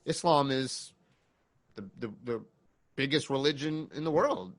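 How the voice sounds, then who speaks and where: Guy in his 30s chatting on a podcast, enthusiastic, thinks out loud, dry sound. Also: mid-range voice, measured pace, no room sound. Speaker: podcast guest. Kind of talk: casual chat.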